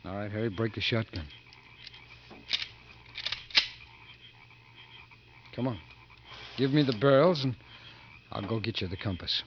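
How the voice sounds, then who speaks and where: A man's voice: lowish voice, fairly quick, close to an everyday voice. A man acting as a tough, defiant prison trustee in a 1950s American radio suspense drama, terse and hard-boiled. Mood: cool bargaining. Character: tough, defiant male prison trustee who narrates his story. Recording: muffled, noisy 1950s radio recording.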